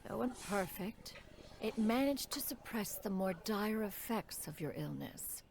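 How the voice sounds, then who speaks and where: A woman's voice: mid-range voice, animated, a little slow, vocal fry, darkish tone, slight background noise. An actress playing a proud, formal, ancient crane spirit woman in a video game, speaking in a stately, archaic manner. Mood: measured, proud. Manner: stately, archaic, refers to self as "one". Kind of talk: scripted game voice acting, storytelling.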